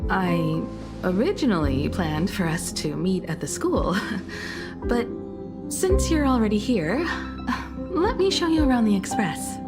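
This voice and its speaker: An actress voicing a composed, reserved young adult daughter in a video game, calm, measured and polite. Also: female voice, mid-range voice, over loud music. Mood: gracious. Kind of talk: restrained video game voice acting.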